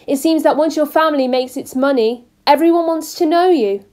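A woman's voice: high voice, a little fast, a little mellow, lightly acted. A woman playing a chatty, curious, sheltered young lady in a 1930s radio drama. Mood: curious, friendly.

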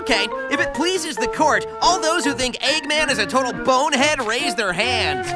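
An actor playing a cocky, sarcastic young hedgehog hero in a video game. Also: male voice, very high voice, animated, moderate pace. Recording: game audio over loud music.

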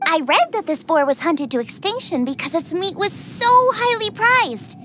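A woman voicing an excitable, cheerful teenage girl chef in a video game, eager and exclamatory. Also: very high-pitched, moderate pace, noisy background. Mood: excited. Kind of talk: video game character acting.

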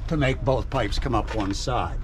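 A man's voice: medium pitch, normal speed. An older retired man, outdoors at a truck show, casually explaining his custom truck in a plain, matter-of-fact way with frequent fillers. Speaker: truck owner giving a tour. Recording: outdoor noise.

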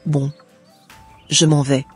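A man voicing an earnest, obedient young woman with a measured manner in a scripted cartoon. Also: on the high side, little pitch movement, faint music behind. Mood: hurt, pleading. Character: earnest young woman, obedient yet devoted to her love.

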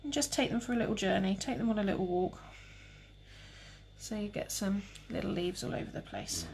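A young woman with a calm, relaxed voice, demonstrating cake painting live and chatting with viewers. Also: medium pitch, brisk, creaky, slight background noise. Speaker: cake decorating tutor.

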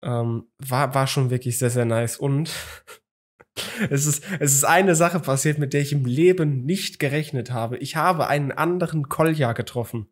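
A man's voice: medium pitch, normal speed. A young man talks casually on a home podcast, rambling along with many fillers and restarts. He sits close to a studio microphone in a small room at home. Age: young adult. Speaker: podcast host. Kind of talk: casual chat. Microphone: studio mic on a boom arm, video call.

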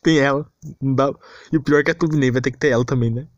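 A man's voice: medium pitch, fairly even intonation, fast, no room sound, highs cut off. A man with a Brazilian Portuguese accent giving an informal, exasperated rant as an anime commentator, repeating himself and using lots of slang.

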